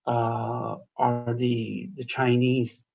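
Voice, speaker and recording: A male missionary answering interview questions haltingly, pausing to search for the right words. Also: medium pitch, completely flat delivery, creaky, a little mellow, soft background music.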